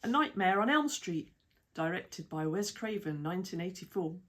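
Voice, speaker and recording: A woman with a British accent reading an article aloud in a measured, even way, with lists of titles and dates. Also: lowish voice. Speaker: reader of an article. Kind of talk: read-aloud essay narration.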